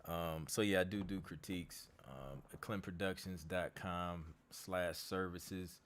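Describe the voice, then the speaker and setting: A hoarse man with a fairly low voice hosts a relaxed livestream from his home studio. He keeps clearing his throat, and his talk is easygoing and slangy as he greets viewers and talks about his music work. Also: a little slow. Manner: laid-back, slangy, reads out viewer comments. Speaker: music producer hosting a live Q&A. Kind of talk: casual livestream chat.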